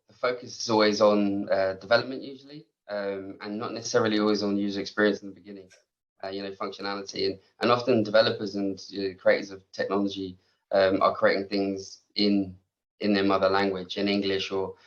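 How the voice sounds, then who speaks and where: A man speaking as a guest panelist in a webinar discussion over a video call, measured and conversational. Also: lowish voice, little pitch movement, highs cut off. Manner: measured, conversational, leans on "you know".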